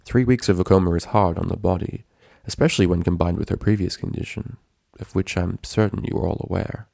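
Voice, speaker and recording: A man voicing a formal, matter-of-fact male pony doctor in a story reading, with measured delivery. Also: low voice, gravelly, warm tone, no room sound, studio quality.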